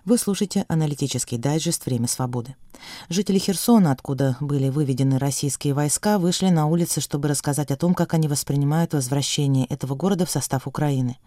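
A man reading a news digest as anchor, in an even, formal newsreader delivery. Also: fairly high pitch, quick pace, no room sound, studio quality.

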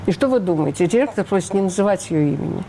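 A woman's voice: mid-range voice, lively intonation, fast talker, warm tone, slight background noise. An older woman speaking as an interview guest, emphatic and indignant, often asking rhetorical questions. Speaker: interview guest, refugee rights advocate. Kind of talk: radio interview.

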